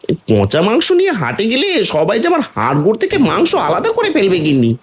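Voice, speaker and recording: A man voicing a scheming, excitable meat seller in a cartoon, exclaiming with a wailing, hawking delivery. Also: high voice, quick pace. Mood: distressed, lamenting. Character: scheming, excitable male meat seller fretting over money. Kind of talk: cartoon voice acting.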